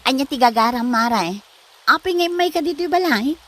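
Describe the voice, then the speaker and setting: Actress as a teasing female neighbour, high bright voice, Ilocano accent, comedy drama. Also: expressive, normal speed. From Philippines. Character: teasing, chatty neighbour woman friend.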